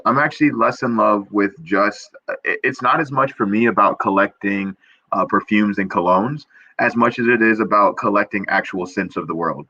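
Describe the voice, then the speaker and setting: A young man with a low, forceful voice talks earnestly about his craft, tumbling into restarts and "um"s as his enthusiasm runs ahead. The sound is dry and a little muffled, like audio from a video call. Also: no room sound. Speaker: perfumer guest. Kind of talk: casual interview chat.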